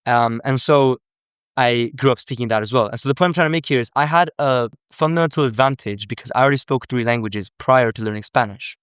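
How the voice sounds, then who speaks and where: Young man, from Sweden, smooth clear voice, dry close sound, earnest, rambling self-corrections. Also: mid-range voice, fairly quick, a bit bright, dry recording. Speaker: language coach. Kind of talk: casual unscripted live commentary.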